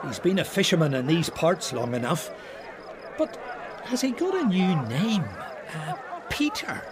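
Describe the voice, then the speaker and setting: A sneering, chuckling party guest. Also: male voice, fairly high pitch, very animated, measured pace, noisy background. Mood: mocking. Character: mocking older man, snobbish party guest.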